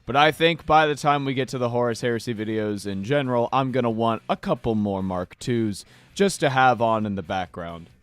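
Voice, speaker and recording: A man with a slightly hoarse voice, hosting a casual livestream where he paints miniatures and chats playfully with viewers. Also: medium pitch, brisk, few pauses, dry recording. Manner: playful, chatty, addresses chat directly. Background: faint background music.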